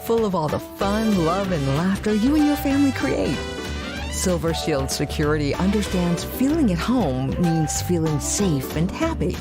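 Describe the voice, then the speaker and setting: A woman's voice: lowish voice, sing-song, moderate pace. A woman announcer reading a scripted commercial in a lilting sales voice. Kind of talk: scripted ad read. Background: music.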